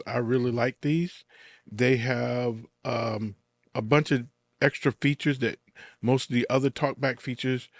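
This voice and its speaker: Man with gravelly creak reviewing gear. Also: mid-range voice, no echo. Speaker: product reviewer. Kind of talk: casual gear review vlog.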